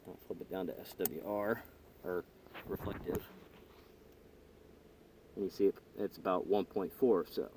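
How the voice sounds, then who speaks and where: A man giving a casual hands-on demonstration in a halting, tentative way, recorded on a handheld camera inside a car. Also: low-pitched, steady tone, a little mellow, slight background noise. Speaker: hobbyist demonstrating radio gear. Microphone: handheld camera or phone.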